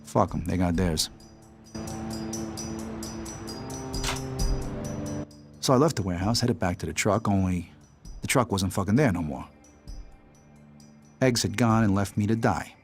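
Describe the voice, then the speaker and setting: Bitter New gangster, low gruff narrator for a crime game, cynical and profane, music underneath. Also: male voice, normal speed, long pauses. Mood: cold, resentful. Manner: gruff street slang, cynical, swearing. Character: bitter, foul-mouthed American mobster recounting a betrayal. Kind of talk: video game cutscene narration.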